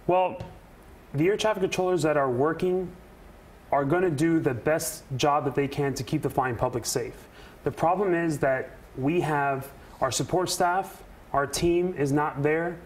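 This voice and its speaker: A man speaking as a union representative in a news interview, earnest and emphatic, with deliberate phrasing. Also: on the high side, a little hiss or hum. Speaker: air traffic controller and union representative, interview guest. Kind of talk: live news interview answers.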